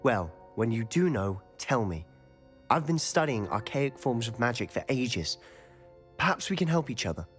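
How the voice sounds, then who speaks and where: An actor playing a charming teenage wizard schoolboy in a game, speaking in a lively, curious, confiding manner. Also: male voice, mid-range voice, normal speed, faint music behind, natural voice.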